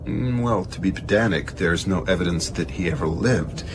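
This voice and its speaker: A man acting a measured, pedantic, evasive establishment gentleman with hesitant, careful speech in a radio drama. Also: sits low, background noise, lightly acted. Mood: musing, aloof.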